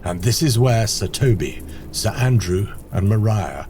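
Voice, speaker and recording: A man's voice: lowish voice, expressive, unhurried, noisy background. A man playing the storyteller of an audio play, narrating scripted scenes expressively. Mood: wry. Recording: music under the voice.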